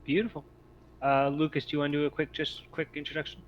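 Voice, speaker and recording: A man's voice: fairly high pitch, level tone, fast talker. A man running a casual tabletop game online as game master, speaking in an encouraging, appreciative way. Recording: muffled mic with noticeable background hiss.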